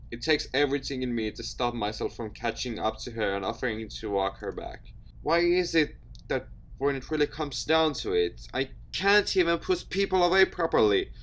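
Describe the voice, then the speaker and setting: A man doing casual let's play commentary and reading visual novel text aloud, with an expressive delivery. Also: medium pitch. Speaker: gaming video host.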